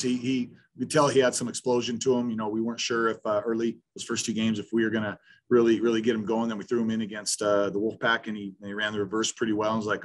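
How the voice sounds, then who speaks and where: A man in his fifties, a head football coach at a post-game press conference, talking bluntly with many restarts over a video call. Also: fairly low pitch. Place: small room in front of a sponsor backdrop.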